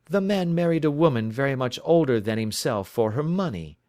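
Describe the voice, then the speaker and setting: A man reading the part of a calm, sharp-witted male detective, suave and precise as he lays out his reasoning. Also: medium pitch, a little fast, no room sound, clean studio sound, natural voice. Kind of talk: audiobook reading.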